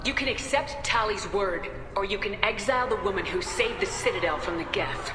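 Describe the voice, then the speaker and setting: A woman's voice: medium pitch, a little fast, lightly acted. A woman playing a blunt, defiant female starship commander in a video game. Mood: angry, defiant. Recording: echoey hall reverb, music behind.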